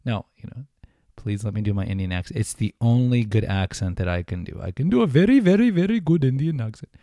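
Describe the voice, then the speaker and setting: A man's voice: medium pitch, very animated, quick pace, warm tone, no room sound, clean studio sound. A man hosting a podcast, talking casually and informally, with fillers and self-corrections. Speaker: podcast host. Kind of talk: casual solo podcast talk.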